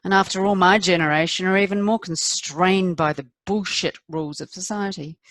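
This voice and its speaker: A middle-aged woman's slightly low voice, close and dry, an actress delivering a confessional theatrical monologue. She is a candid, rueful wife, wry and self-deprecating, and leans on deliberate pauses for emphasis. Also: fairly even intonation, a bit bright, no room sound, natural voice. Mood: confiding, defensive. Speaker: performer of a dramatic monologue.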